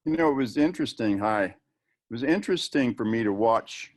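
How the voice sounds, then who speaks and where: A man giving a halting, thoughtful informal comment over a video call. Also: medium pitch, lively intonation.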